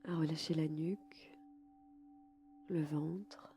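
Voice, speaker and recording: A woman guiding a relaxation session slowly and calmly, in a soft, breathy voice that often nears a whisper. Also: low voice, slight background noise. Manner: calm, soothing, unhurried. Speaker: podcast host and yoga nidra guide. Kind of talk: slow, soft guided relaxation.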